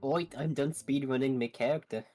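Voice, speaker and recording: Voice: mid-range voice, steady tone, moderate pace, a little mellow, faint music behind. A person doing casual, profane, joking asides during a group fan-dub gaming stream. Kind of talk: casual group let's play with improvised character voices.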